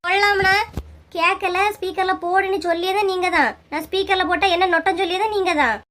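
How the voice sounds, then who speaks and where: A woman voicing an excitable, bickering village woman in a comic cartoon, exclaiming and complaining in Kanyakumari Tamil slang. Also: very high-pitched, fast. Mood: irritated.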